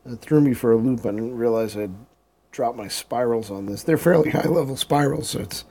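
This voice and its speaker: A man getting over a cold, hoarse and often clearing his throat, giving casual commentary while streaming a game. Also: mid-range voice, moderate pace, warm tone. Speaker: gaming live streamer.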